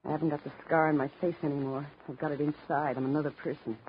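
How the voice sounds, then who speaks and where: A woman's voice: low voice, little pitch movement, fast, a little hiss or hum, natural voice. An actress playing a bitter, wounded young showgirl in 1950s radio drama, with tough, slangy, melodramatic delivery. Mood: bleak. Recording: muffled old 1950s radio recording.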